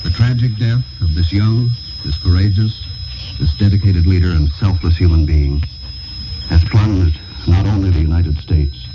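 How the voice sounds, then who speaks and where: A man reading a solemn, measured, formal editorial in the style of a 1960s radio broadcast, over shortwave. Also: sits low, muffled recording. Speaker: radio commentator and announcer. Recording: noisy, band-limited 1960s shortwave radio recording. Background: loud shortwave static and noise.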